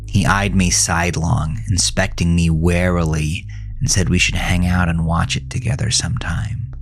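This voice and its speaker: Deep, gravelly man narrating horror. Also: flat delivery, moderate pace, faint music behind. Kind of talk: scripted first-person horror narration.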